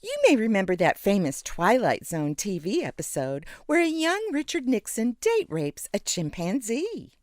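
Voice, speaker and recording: A woman's voice: mid-range voice, sing-song, moderate pace, few pauses, no echo, studio quality, lightly acted. A woman playing a mad scientist's sardonic, flirty assistant in a comedic audio drama, with a theatrical, sultry delivery. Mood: announcing.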